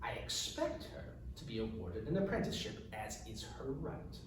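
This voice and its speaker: A man's voice: medium pitch, sing-song, moderate pace, pitched below the performer's own voice, close to an everyday voice. A man voicing a stern, haughty noblewoman and demanding mother in a storybook reading, cool and insistent. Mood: cool, entitled. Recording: echoey room, distant mic, faint noise.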